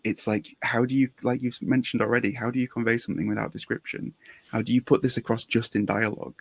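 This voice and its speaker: A man's voice: medium pitch, flat delivery, fast, vocal fry, breathy edge. A man hosting a podcast, talking in a casual, reflective conversational style. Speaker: podcast host.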